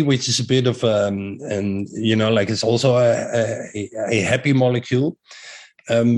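Middle-aged man with a thick Dutch accent, interview guest, enthusiastic, talks in loops and restarts. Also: medium pitch. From Netherlands. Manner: enthusiastic, with frequent restarts and fillers. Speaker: guest, breathwork teacher. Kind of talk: casual interview talk.

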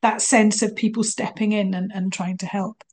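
A woman's voice: mid-range voice, flat delivery, moderate pace, darkish tone, slight background noise. A woman hosting an interview, asking questions and sharing her own stories in a chatty way. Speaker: host. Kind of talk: casual interview chat.

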